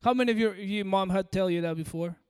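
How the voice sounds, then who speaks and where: Preacher: man from Peru with an American-sounding accent, high voice, hall reverb. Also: quick pace, a little mellow, clean tone. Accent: American-sounding English. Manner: direct and coaxing, addresses the audience. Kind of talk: sermon.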